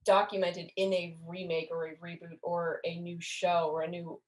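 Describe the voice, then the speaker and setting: A woman talking casually as a guest in a relaxed group conversation. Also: on the low side, moderate pace, a little hiss or hum. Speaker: podcast guest, fan community member.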